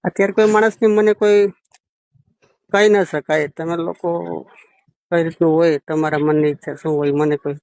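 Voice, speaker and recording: A man's voice: fairly high pitch, fairly even intonation, moderate pace, long pauses, a little mellow, no echo. A man talks casually on a private phone call. His voice sits close and dry, and he speaks colloquially, often questioning the other person. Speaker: phone caller. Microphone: phone call recording.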